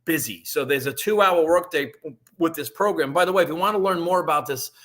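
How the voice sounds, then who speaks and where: A man, talking casually on a live stream and pitching an online business. He rambles, restarts often and keeps checking in with "right?". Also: a little fast, fairly bright tone. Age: 50s.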